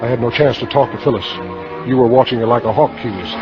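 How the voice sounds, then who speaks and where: A man's voice: mid-range voice, fast talker, music in the background, lightly acted. A man acting a hard-bitten insurance salesman in 1950s radio drama, with terse noir delivery, on a muffled vintage recording. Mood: brooding narration.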